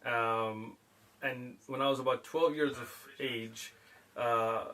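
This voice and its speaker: A man's voice: mid-range voice, fairly even intonation, slow, pushed and bright, rough recording. A middle-aged man, born in Pakistan, telling stories in a casual chat and laughing often. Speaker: guest. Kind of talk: casual storytelling.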